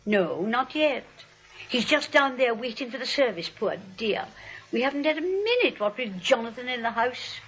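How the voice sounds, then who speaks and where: An actress playing a sweet but easily indignant elderly aunt, genteel and fussy, in 1940s radio comedy style. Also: female voice, on the high side, lively intonation, normal speed, fairly bright tone. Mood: prim, matter-of-fact. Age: older. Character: sweet, indignant elderly aunt, primly matter-of-fact about murder. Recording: dull old radio recording.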